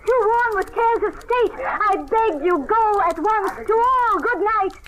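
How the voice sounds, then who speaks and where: Through heavy noise of an old radio play comes a commanding queen. Her voice is high, theatrical and urgent, with scornful authority. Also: unhurried. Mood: anxious, commanding. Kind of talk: classic radio drama acting. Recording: very noisy old radio recording.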